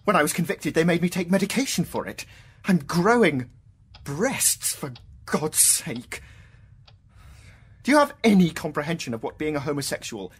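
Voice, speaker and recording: An actor playing an anguished, disbelieving mathematician in a radio drama, bitter and agitated, moving between outbursts and faltering murmurs. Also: male voice, fairly high pitch, very animated, moderate pace, breathy edge, heavily acted. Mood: indignant.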